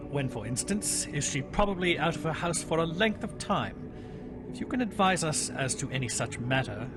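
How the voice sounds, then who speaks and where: With music underneath, a man plays a shrewd police inspector in a fairly high male voice. He is dry, clipped and authoritative, with lively radio-drama intonation. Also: natural voice. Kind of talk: period radio crime drama acting.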